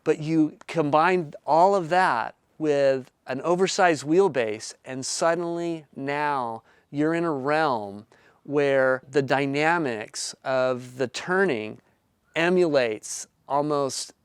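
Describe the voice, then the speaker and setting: Earnest man in his fifties, smooth voice. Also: on the high side, takes it slowly, no echo, clean studio sound. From Southern California. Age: 50s. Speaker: company founder and inventor. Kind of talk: pitch video talk to camera.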